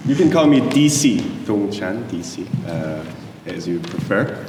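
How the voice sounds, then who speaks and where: A man's voice: fairly low pitch, animated, a little slow, background noise. A man in his thirties from South Korea, a native Korean speaker, giving a talk to an audience in English. He hesitates often and restarts his sentences, and he is friendly with the audience. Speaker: guest lecturer. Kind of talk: presentation to an audience. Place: lecture hall. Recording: echoey hall from far off.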